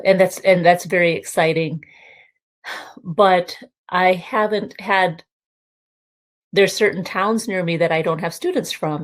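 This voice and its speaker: A middle-aged woman music teacher speaks on a panel in a somewhat low voice. She talks in a measured, hesitant way, pausing and using fillers as she thinks. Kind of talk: panel discussion.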